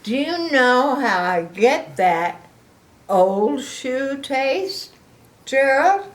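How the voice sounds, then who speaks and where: A woman voicing an excitable, pushy young pig friend in a children's picture book, with drawn-out, emphatic exclamations. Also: high-pitched, voice pushed up, full character voice. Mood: eager. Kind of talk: animated children's picture-book read-aloud.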